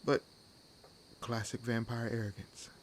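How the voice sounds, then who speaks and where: A man playing a wry, world-weary vampire hunter in an intimate audio roleplay, teasing and sardonic, with unhurried pauses. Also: medium pitch, little pitch movement, slight background noise, close to an everyday voice. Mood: wary, calculating. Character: wry, world-weary one-armed male vampire hunting his own kind. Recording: nature ambience laid under voice. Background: forest ambience with crickets and insects.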